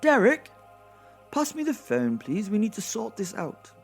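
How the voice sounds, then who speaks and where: A man voicing a pompous, wounded archangel in an audio drama, declaiming grandly and halting with outrage. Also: high voice, huge pitch swings, vocal fry, faint music behind, pitched above the performer's own voice, heavily acted. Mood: incredulous, imperious. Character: Archangel Gabriel, pompous, wounded, indignant male angel.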